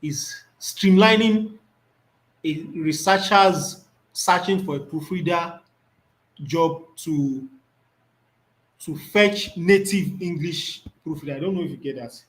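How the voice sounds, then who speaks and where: A man's voice: fairly high pitch, slow pace, low-quality recording. Man teaching a screen tutorial. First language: Yoruba. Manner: thinks aloud, repeats words while reading off the screen. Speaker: instructor.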